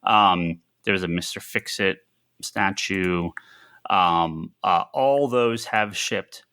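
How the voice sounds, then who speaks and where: A man co-hosting a casual podcast on industry news, talking in a halting, thinking-aloud way with emphatic repetition. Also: sits low, bright, forceful tone, clear, resonant tone. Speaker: podcast co-host who runs a comics store.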